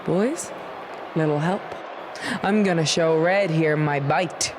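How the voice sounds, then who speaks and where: A woman's voice: low voice, slow pace, background noise. A woman playing a cocky, threatening female wrestler and gang leader in a video game, with a swaggering, taunting manner. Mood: menacing.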